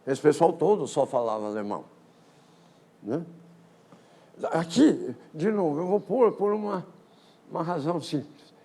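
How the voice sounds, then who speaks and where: An older man, giving a physics colloquium talk on history in a lecture hall, halting often with repeated words and false starts. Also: on the high side, animated, dark tone. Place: lecture auditorium.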